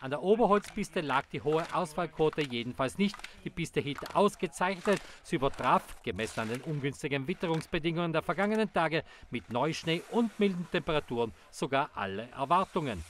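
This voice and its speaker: A male sports reporter narrates a ski race report in a formal, measured voice-over. His voice sits a little higher than most men's. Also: expressive, slight background noise. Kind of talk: scripted news narration.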